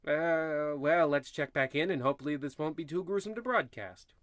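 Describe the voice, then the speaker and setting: A man's voice: a little high, moderate pace, close to an everyday voice. Man as a wry spooky radio horror host. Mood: wry, ominous. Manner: theatrical, tongue-in-cheek. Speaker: narrator host of radio play. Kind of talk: parody old-time radio horror narration.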